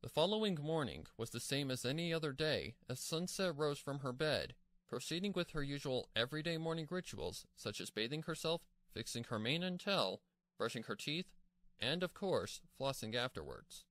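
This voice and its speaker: A man reading scripted story narration in an expressive storytelling manner. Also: fairly high pitch, moderate pace, no echo.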